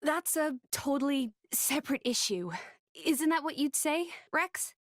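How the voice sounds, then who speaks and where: An actress playing a gentle but resolute young heroine in a video game, soft-spoken and earnest. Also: female voice, sits high, a little slow, no echo, studio quality. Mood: firm.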